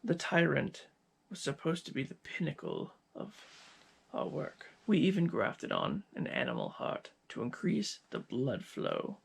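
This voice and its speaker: A man playing a cold, gloating scientist villain in an ASMR roleplay, speaking with deliberate, menacing pauses in a gravelly, husky voice. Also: a little high, wildly swooping pitch, exaggerated voice. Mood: proud, coldly menacing.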